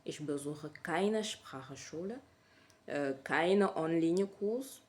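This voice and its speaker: A woman's voice: low voice, lively intonation, slow pace, a little mellow. A woman speaking German with a French accent as a learner, hesitant and often stopping to correct herself in a casual vlog. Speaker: language learner sharing her method. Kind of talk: casual vlog talk to camera.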